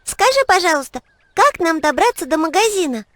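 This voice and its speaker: A woman's voice: extremely high pitch, big pitch swings, fairly quick, fairly bright tone, full character voice. A woman voicing a cheerful, caring little girl heroine in an educational children's cartoon. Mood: friendly, upbeat.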